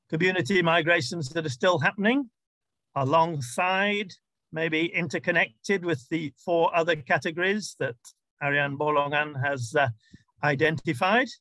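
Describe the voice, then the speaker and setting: A male university lecturer speaks in a bright, forceful voice pitched a little high for a man. He explains in a measured, somewhat hesitant academic style with informal asides, and the sound is close and dry. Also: no room sound, poor audio.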